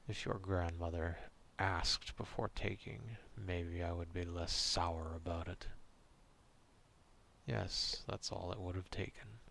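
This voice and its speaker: Man acting as gruff male forest beast, very low growl, unhurried pace, haughty, menacing, distrustful, ASMR roleplay. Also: fairly even intonation, fairly bright tone, slight background noise, full character voice. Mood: grudging, stern.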